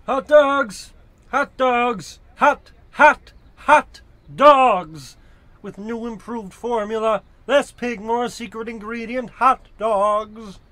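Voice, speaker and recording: A man's voice: very high-pitched, slow pace, pushed and bright, no echo, exaggerated voice. A man playing an eager, fawning street hot dog vendor in a radio comedy. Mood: hawking.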